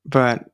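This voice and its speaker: A man's voice: a bit bright, no room sound, studio quality. A man hosting a podcast in a casual, conversational interview style.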